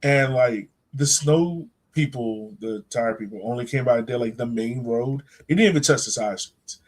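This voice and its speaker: A man's voice: mid-range voice, normal speed. A man hosting a sports fan podcast, chatting casually in an informal, slangy, enthusiastic way. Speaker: podcast host.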